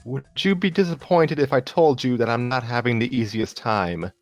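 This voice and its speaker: A man's voice: medium pitch, moderate pace, no room sound, faint music behind, voice pushed up, natural voice. A man voicing a downcast, self-doubting young woman student in a story reading, hesitant and wry. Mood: evasive, downcast.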